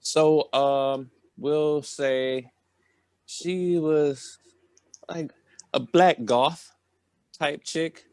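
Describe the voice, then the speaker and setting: A man's voice: a little high, steady tone, very slow, pauses often, a little mellow, smooth, no room sound, clean studio sound. A man telling a story on a podcast in a casual, rambling, slangy way. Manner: colloquial, slangy.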